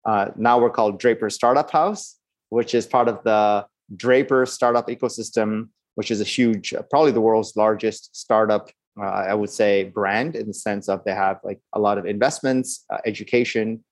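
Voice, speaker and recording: A middle-aged American man with a low voice talks through his story in an easygoing way. He pads his sentences with "uh" and often starts them over. The recording is dry, with no room sound. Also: moderate pace, no room sound. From Los Angeles, California. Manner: relaxed, many fillers and restarts. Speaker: podcast guest, startup founder. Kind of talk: conversational interview answers.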